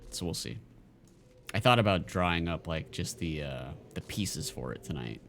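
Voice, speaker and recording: Streamer type: low-voiced man, rambling casual gamer chat, faint game music underneath. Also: lively intonation, moderate pace, a bit bright. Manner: rambling, lots of fillers and restarts. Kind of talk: casual chat while gaming.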